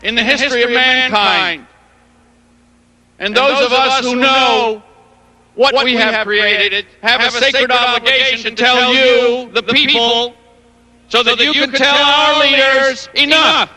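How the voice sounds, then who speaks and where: An actor playing an impassioned nuclear expert turned activist, giving an emphatic speech in an echoing hall. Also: male voice, very high-pitched, fairly even intonation, slow pace, pushed and bright, heavily acted. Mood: fervent, angry. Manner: emphatic, declamatory. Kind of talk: public oratory in a TV drama. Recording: long echoing hall, public address.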